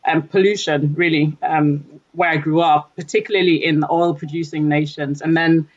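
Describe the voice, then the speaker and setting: A woman's voice: deep voice, fairly even intonation, normal speed. A woman from Nigeria talking earnestly about her background and career in a podcast conversation, with frequent fillers. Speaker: environmental lead at a company. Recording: dull lo-fi audio.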